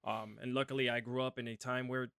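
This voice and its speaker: A man from Puerto Rico talks earnestly as co-host, animated and sincere. His voice sits in a middle register, and the recording is dry and close. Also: mid-range voice, fast, smooth, no echo. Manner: earnest, animated, speaks from the heart. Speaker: podcast co-host. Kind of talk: casual chat.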